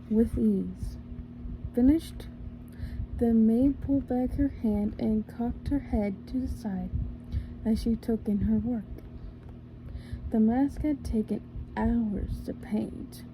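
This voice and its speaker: A woman reading a storybook in a gentle ASMR style, with slow, deliberate phrasing. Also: fairly high pitch, poor audio. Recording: faint background noise.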